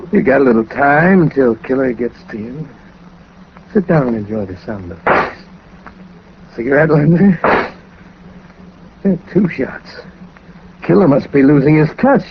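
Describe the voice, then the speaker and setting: Smug 1940s radio gangster boss. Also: male voice, on the high side. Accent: American. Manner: taunting, slangy tough-guy talk. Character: smug, menacing male American gangster boss. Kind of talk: 1940s radio crime drama acting. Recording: muffled, noisy 1940s radio recording.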